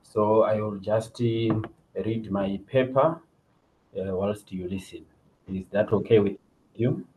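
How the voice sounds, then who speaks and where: A man's voice: fairly low pitch, slow pace, background noise. A middle-aged man with a Zimbabwean accent, formally reading a presentation as a police officer over an online call. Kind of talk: formal presentation read from a paper. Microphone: video call.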